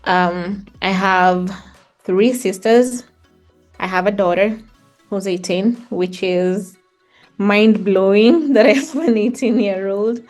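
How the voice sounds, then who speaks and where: A woman's voice: mid-range voice, slow, a little mellow, soft background music. A middle-aged woman with a Kenyan accent, reflecting on her life as an interview guest. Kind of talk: reflective personal storytelling in conversation.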